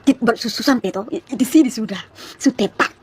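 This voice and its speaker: A woman of about thirty from East Nusa Tenggara, speaking with its regional Malay accent, chatting to viewers on a phone livestream and telling her story in rapid, chatty bursts full of asides. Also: on the high side, animated, husky. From East Nusa Tenggara, Indonesia. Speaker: live streamer. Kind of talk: casual live-stream testimony to viewers.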